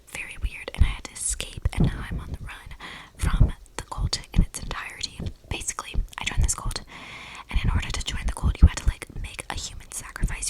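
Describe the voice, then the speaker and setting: A woman's voice: warm tone, close to an everyday voice, low-quality recording. Woman playing a whispering, rambling young vampire. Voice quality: breathy whisper with creaky edges. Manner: rambling, casual slangy delivery with many likes. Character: eccentric, chatty young female vampire on the run. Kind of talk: whispered ASMR roleplay monologue. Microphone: close microphone with audible breathing.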